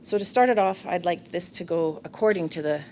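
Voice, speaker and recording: A woman moderating a round table, introducing the speakers formally and setting out questions at a measured pace. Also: lowish voice, a little mellow, a little hiss or hum. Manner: measured, slows down to pose questions.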